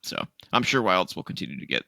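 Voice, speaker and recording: A man co-hosting a casual gaming podcast, laughing often and reading out news items in a relaxed drawl. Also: deep voice, fast talker, gravelly, no echo, studio quality. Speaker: podcast co-host.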